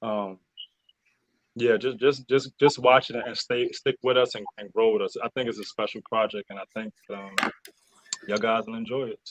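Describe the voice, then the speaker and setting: A man speaking as a cast member in a casual interview, halting and repeating his words. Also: lowish voice, steady tone, low-quality recording. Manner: halting, repetitive.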